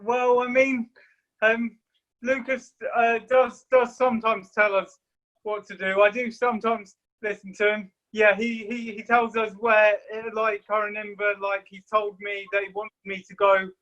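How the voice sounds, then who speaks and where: A man's voice: high voice, little pitch movement, unhurried, fairly bright tone, highs cut off. A man who plays flanker, chatting eagerly on a podcast about his play. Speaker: rugby flanker. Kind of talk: casual podcast chat.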